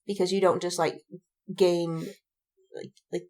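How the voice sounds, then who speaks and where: A woman's voice: lowish voice, little pitch movement, unhurried, warm tone. A woman co-hosting a podcast in casual fandom chat, rambling along and repeating words for emphasis. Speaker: podcast co-host.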